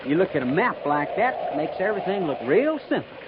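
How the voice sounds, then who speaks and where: High-voiced folksy cavalry corporal. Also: male voice, sing-song, brisk. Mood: wry, easygoing. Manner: folksy, ungrammatical, wry drawling phrasing. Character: folksy frontier cavalry corporal, wry, easygoing. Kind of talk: 1950s radio western acting. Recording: muffled, hissy 1950s radio recording.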